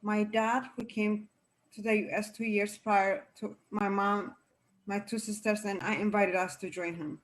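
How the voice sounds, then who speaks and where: A woman from Ethiopia, a panelist who briefly and softly tells her story. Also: medium pitch, little pitch movement, measured pace, low-quality recording. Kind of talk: online panel talk, personal story.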